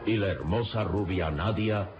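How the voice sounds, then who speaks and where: A man's voice: low voice, measured pace. Dramatic male narrator, echoey recording. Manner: solemn, suspenseful delivery. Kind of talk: dramatic scripted radio serial narration. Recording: muffled, echoey radio recording under loud music.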